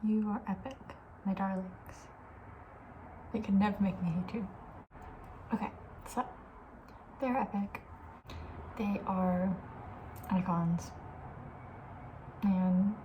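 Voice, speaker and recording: A young woman with a soft, breathy voice, often near a whisper, chatting casually and with playful opinions in an ASMR style. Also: mid-range voice, fairly even intonation, slow, pauses often, lo-fi sound, slight background noise. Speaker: ASMR video creator.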